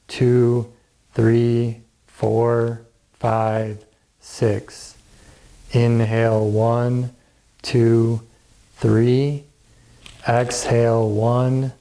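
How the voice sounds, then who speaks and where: A man gently and calmly guiding a yoga and relaxation class in measured phrases with pauses. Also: mid-range voice, rough recording. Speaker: yoga teacher. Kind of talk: guided yoga and relaxation instruction.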